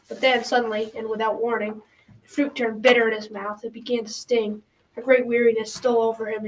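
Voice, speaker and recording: A storytelling grandparent in a school radio play, acted by a young female student. The voice is youthful and fairly high, reading the folktale in a measured way. Faint noise runs behind it. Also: close to an everyday voice, low-quality recording. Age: child or teen. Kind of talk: scripted storytelling, amateur radio drama.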